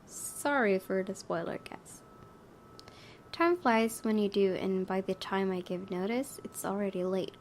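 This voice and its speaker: A woman doing let's play narration, reading a story aloud expressively with casual, offhand asides. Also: measured pace. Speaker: gaming video host, reading a visual novel aloud. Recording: faint low rumbling background noise.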